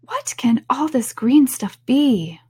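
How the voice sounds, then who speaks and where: Woman acting out little girl, raised pitch, storybook voice, hesitant, polite, puzzled, protesting. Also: lively intonation, slow pace, dark tone, breathy edge, full character voice. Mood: puzzled, anxious. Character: bewildered, polite but indignant little girl. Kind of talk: storybook character voice.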